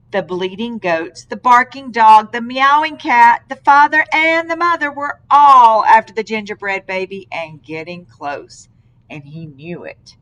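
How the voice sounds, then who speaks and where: A woman reading a children's story aloud in an expressive way, with dramatic, deliberate phrasing. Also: on the high side, muffled recording. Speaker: storybook reader.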